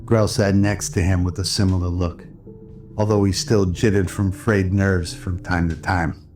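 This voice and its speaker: A man's voice: sits low, little pitch movement, measured pace, a little mellow. A man reading scripted narration for an audio drama. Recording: music under the voice. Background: music.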